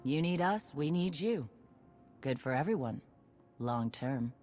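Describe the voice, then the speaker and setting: A woman voicing a blunt, battle-hardened warlord who talks in short, gruff bursts in a game cutscene. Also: a bass voice, expressive, measured pace, darkish tone, soft background music. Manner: clipped, gruff, terse.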